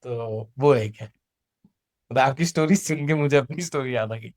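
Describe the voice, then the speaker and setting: A man's voice: medium pitch, normal speed, dry recording, clean studio sound. A man with an Indian accent telling personal stories in casual chat, acting out dialogue and mixing Hindi with English. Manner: animated retelling with acted-out dialogue, switching between Hindi and English. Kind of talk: casual conversational storytelling.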